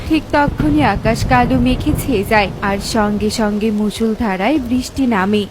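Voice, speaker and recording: A woman's voice: on the high side, normal speed, background noise. A woman narrator reading a scripted story for children.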